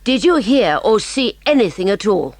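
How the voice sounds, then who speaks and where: A woman playing a clever, inquisitive British schoolboy detective, brisk and emphatic, in a dramatised audiobook. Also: medium pitch, big pitch swings, darkish tone, no echo. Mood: brisk, questioning. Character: clever, keen, bossy schoolboy detective, eager and polite.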